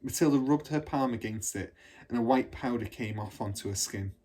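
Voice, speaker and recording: A man's voice: mid-range voice, normal speed, a little mellow. A man narrating a children's story from a book. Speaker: storybook reader.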